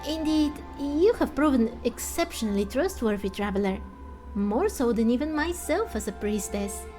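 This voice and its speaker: A woman voicing a courteous, solemn priestess from a fantasy game, in a formal, measured manner. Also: a little high, animated, darkish tone, lightly acted. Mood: solemn, humble. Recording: music under the voice.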